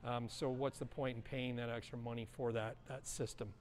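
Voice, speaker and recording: A middle-aged man giving a relaxed, chatty walkthrough of snowmobiles in a large indoor showroom, filming on a handheld action camera and filling pauses with uh and um. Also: medium pitch, a little hiss or hum. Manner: relaxed, chatty, lots of uh and um fillers. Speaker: vlogger touring a snowmobile dealership. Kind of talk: casual walkthrough presenting.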